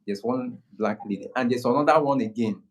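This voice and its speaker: A man from Nigeria with a Nigerian accent, speaking slowly and calmly in casual conversation, often restarting his sentences. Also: medium pitch. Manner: slow, unhurried, many restarts. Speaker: guest creator.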